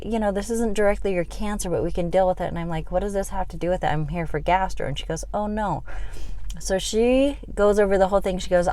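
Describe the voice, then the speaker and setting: A woman's voice: on the low side, dark tone, no room sound. A middle-aged woman giving a frustrated, rambling update on her health, venting with many fillers. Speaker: health vlogger.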